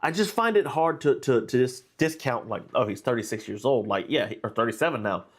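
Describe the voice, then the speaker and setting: A man with a stuffy, nasal voice from being under the weather, hosting a casual live stream. He rambles through his fight breakdown, circling back with fillers and false starts. His pitch sits at a middling level. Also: lively intonation, brisk. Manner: rambling, with many fillers and restarts. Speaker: host.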